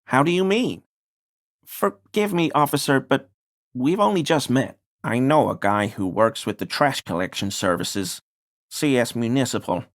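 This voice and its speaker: A man's voice: medium pitch, fairly bright tone, no echo. Sneaky paranoid game npc, smug but grovelling, takes his time, super dry sound, conspiratorial. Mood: defensive, apologetic. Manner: conspiratorial, obsequious, evasive. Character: paranoid, furtive cryptofascist pie-delivery man, deferential yet smug. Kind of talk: video game character dialogue.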